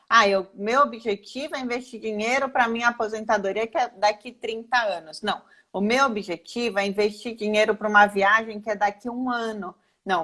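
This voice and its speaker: A woman with a Brazilian accent speaks at a middle pitch. She explains in an informal, teacherly way, often asking a question and then answering it herself, as an expert talking to a live online audience. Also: fairly bright tone. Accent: Brazilian Portuguese. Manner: didactic, poses questions and answers them herself, acts out sample replies.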